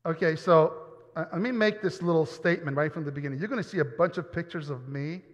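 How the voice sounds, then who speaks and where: A man's voice: on the high side, fast talker. A man from New York giving a personal testimony sermon in a church, earnest and often repeating words as he goes. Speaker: pastor. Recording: dull sound with long hall reverb.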